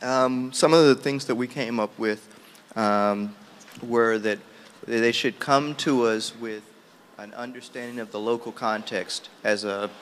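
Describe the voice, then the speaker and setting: Male group spokesperson, lowish and flat, matter-of-fact, listing points for a meeting. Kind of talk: informal spoken report to a meeting.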